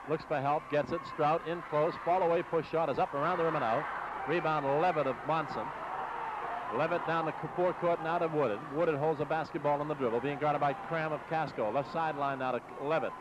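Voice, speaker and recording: An American man doing live radio basketball play-by-play in clipped, rapid-fire short phrases, on an old AM broadcast. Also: fairly high pitch. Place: basketball game in a gym. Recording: muffled noisy 1960s AM radio broadcast.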